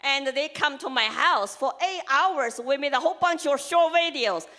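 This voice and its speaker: Woman w chinese accent giving a campaign speech, high voice, earnest and punchy, short phrases. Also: expressive, normal speed, pushed and bright. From China. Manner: earnest, emphatic, lists points in short phrases. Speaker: congressional candidate. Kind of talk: campaign speech to a live audience.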